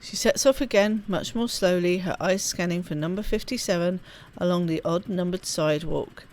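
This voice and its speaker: A woman reading a game's story text aloud in an expressive storybook style, with offhand asides as she plays. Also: fairly low pitch, moderate pace, slight background noise. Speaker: let's play host. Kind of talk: reading game narration aloud.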